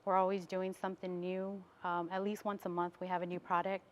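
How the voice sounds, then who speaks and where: A woman's voice: lowish voice, level tone, brisk. An American woman being interviewed as a business owner in a TV studio, explaining things in a casual way.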